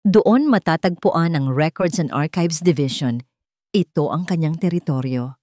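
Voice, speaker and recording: A woman with a Filipino accent narrates a scripted drama. Her voice sits low, and the sound is dry, with hardly any room in it. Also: animated, unhurried, dark tone, dry recording. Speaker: story narrator.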